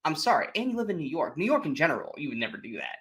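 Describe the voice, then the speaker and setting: Man, higher voice, bright forceful tone, casual film podcast co-host, animated, swears freely. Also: very fast. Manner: animated retelling, swears freely, acts out reactions. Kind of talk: casual movie spoiler chat.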